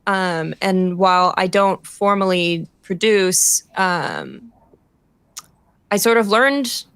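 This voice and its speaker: A woman's voice: mid-range voice, sing-song, takes it slowly, fairly bright tone, clear, resonant tone, no echo. A woman reflecting casually and a little hesitantly on a creative process, as a theater director.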